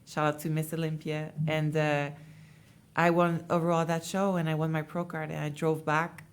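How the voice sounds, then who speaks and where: A woman speaks in a low register. As an interview guest she tells her story in an easy, chatty way, full of fillers. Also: little pitch movement, moderate pace, a little hiss or hum. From Montreal, Canada. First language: French. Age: 20s. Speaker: interview guest, bikini competitor. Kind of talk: casual interview talk.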